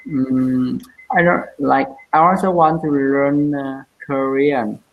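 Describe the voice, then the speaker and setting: A woman's voice: a bass voice, steady tone, slow, narrow, tinny audio. A woman with a Vietnamese accent practising English in a casual lesson, hesitant and searching for words with many fillers, heard over a video call. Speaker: English learner.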